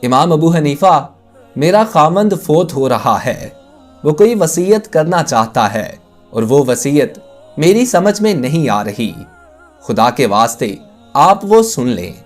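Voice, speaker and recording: A man's voice: on the high side, very animated, slow pace, soft background music. A man voicing a young widowed woman in a storybook tale, speaking in a pleading manner. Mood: urgent pleading.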